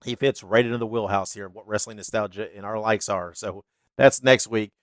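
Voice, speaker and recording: A man's voice: fairly low pitch, steady tone, fairly quick, dry recording, clean studio sound. A man hosting a wrestling podcast, chatty and laughing, sounding stuffy and congested. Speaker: podcast host. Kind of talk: casual podcast outro.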